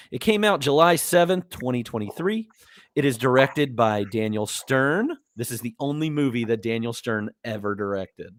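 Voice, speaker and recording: Kinda hoarse guy hosting a chill podcast, rambly with lots of uhs, dry close sound. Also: mid-range voice, a bit bright, dry recording. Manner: easygoing, rambling, with many "uh" fillers and restarts. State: a little hoarse. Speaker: podcast host. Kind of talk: casual movie podcast chat.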